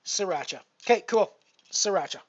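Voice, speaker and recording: A man's voice: sits high, expressive, normal speed. A man in his fifties with a Canadian accent from Alberta, casually commentating his poker stream and greeting viewers by name. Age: 50s. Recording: dull lo-fi stream audio with faint noise.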